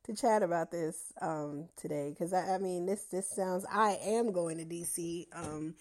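Enthusiastic woman interviewer, low voice. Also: unhurried, darkish tone. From lives in Atlanta. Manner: enthusiastic, many fillers and restarts. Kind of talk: casual interview chat.

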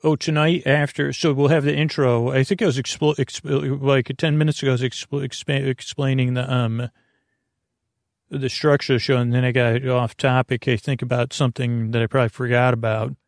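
A middle-aged man hosting a sleep podcast, talking in a meandering, goofy monologue full of asides and restarts. His voice is creaky. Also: mid-range voice, flat delivery, normal speed, no room sound, clean studio sound. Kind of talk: rambling bedtime monologue.

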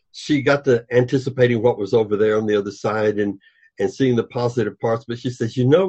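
Old guy great-grandpa talking faith slow and earnest, husky, muffled audio. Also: medium pitch, a little mellow. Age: older. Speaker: guest. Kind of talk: reflective religious discussion. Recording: dull lo-fi sound.

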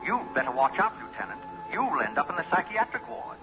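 An actor playing a haughty, sarcastic society gentleman with elaborate, pompous diction in a 1950s radio drama. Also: a little high, big pitch swings, brisk, over music. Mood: taunting. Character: haughty, possessive, acid-tongued society gentleman, rival of a detective. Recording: muffled 1950s radio recording.

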